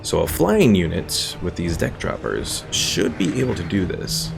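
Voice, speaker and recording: A man giving relaxed, casual live game commentary as a streamer, thinking aloud as he plays. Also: low voice, measured pace, gravelly. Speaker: gaming streamer. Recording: game music behind the voice.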